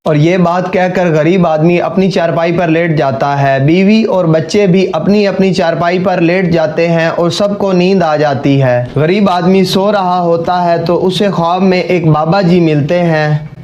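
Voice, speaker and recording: A man's voice: high voice, fairly even intonation, measured pace, few pauses, darkish tone, highs cut off. A man delivering scripted narration for a cartoon moral story.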